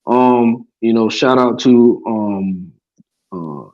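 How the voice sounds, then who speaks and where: A man's voice: mid-range voice, drawn-out words, darkish tone. Chill guy rambling on a stream podcast, says you know what i mean a lot, kinda muffled lo-fi audio. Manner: laid-back, swears, keeps saying "you know what I mean". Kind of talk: casual podcast chat. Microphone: remote stream call. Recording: dull lo-fi sound.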